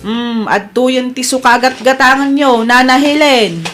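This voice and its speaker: A woman's voice: high voice, a little slow, over loud music. A woman acting a friendly, curious young neighbour with an Ilocano Filipino accent in an audio drama. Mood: teasing.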